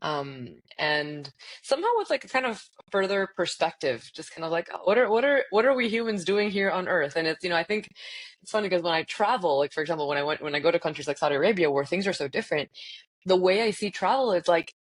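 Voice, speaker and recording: Woman, 30s, from Spain and the US; deep, lively, laughing guest. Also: fast talker. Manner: animated, laughs often, playful asides. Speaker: leadership coach guest. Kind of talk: casual interview.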